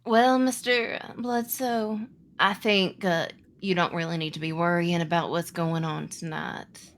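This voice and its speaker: A woman's voice: fairly low pitch, fairly even intonation, measured pace. A woman game master playing a genial, sinister businessman who gives polite, dismissive orders in a tabletop game. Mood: calmly commanding.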